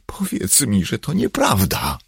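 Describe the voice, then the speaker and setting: An intense, commanding leader of a commune in an audiobook, voiced by a man. He speaks in a husky, very low murmur, slow and measured. His calm is soothing yet firm. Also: dry recording, clean studio sound, exaggerated voice.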